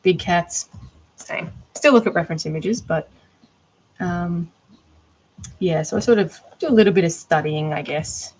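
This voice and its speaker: A rambling, chatty Australian woman with a slightly low voice, talking her thoughts through out loud as she works. She keeps the tone casual and conversational, like a crafter chatting to viewers on a live stream. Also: measured pace, pauses often, poor audio. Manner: chatty, rambling, thinking aloud. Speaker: art doll maker. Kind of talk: casual livestream chat while crafting.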